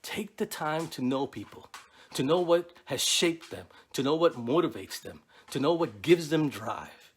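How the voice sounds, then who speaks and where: A man's voice: fairly high pitch, lively intonation, unhurried, slight background noise. A middle-aged man from New York City, a Navy chaplain, delivering a prepared speech in an earnest, preacherly way with rhythmic lists. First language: Spanish. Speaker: keynote speaker, Navy chaplain.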